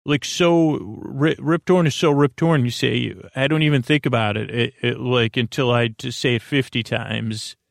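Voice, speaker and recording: A man hosting a bedtime podcast in a meandering, halting monologue, often drifting off his train of thought. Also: mid-range voice, fairly even intonation, creaky, dry recording, studio quality. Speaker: podcast host.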